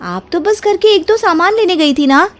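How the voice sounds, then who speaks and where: A woman's voice: very high-pitched, lively intonation, moderate pace, pitched above the performer's own voice. A woman playing a polite, inquisitive young daughter-in-law in an acted moral story, with an eager, respectful manner. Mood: excited, curious.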